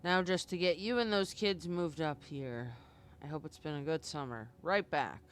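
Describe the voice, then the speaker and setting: A man's voice: on the high side, moderate pace, a little hiss or hum. A man streaming a game live, giving informal commentary and reading aloud as he plays.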